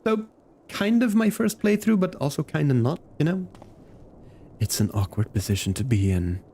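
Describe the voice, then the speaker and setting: A man's voice: on the high side, expressive, moderate pace, dark tone, slight background noise. A man live-streaming a game, chatting casually and in a friendly way with his viewers while thinking aloud as he plays. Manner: friendly, talks directly to chat, thinks aloud. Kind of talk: casual chat and gameplay commentary for viewers.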